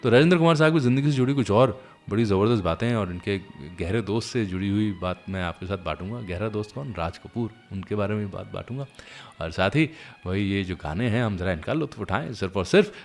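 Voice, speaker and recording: A man's voice: fairly low pitch, quick pace, hardly any pauses. A man hosting a music show, telling anecdotes in a chatty, conversational way straight to his listeners. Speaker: radio show host. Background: music playing behind the voice.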